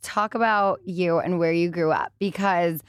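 A woman's voice: lowish voice, unhurried, clean tone, no room sound. A woman hosting a studio interview, chatty and encouraging. She often answers with short affirmations. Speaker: podcast host. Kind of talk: casual interview chat.

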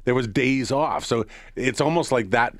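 A man's voice: medium pitch, normal speed, no room sound. A man chatting casually about sports as a radio co-host.

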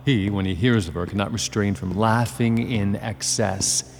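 An actor playing a scheming, two-faced soldier villain in a Shakespearean tragedy, speaking in an insinuating, urgent, theatrical manner. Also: male voice, lowish voice, fairly even intonation, measured pace, soft background music. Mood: gleeful scheming. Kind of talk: Shakespearean tragedy acting in modernized English.

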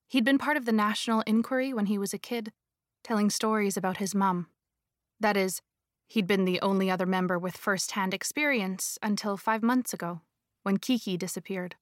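A woman's voice: mid-range voice, no room sound, clean studio sound. A young-sounding woman reading scripted dramatic audiobook narration with a driven delivery. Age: young adult. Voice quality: youthful-sounding.

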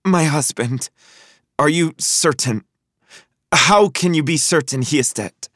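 A man performs a composed, forceful Lebanese woman in an audiobook thriller. The voice is lifted well up in pitch, with formal, deliberate phrasing and a close, dry sound. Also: no echo. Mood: anxious, pressing. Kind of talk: audiobook character voice.

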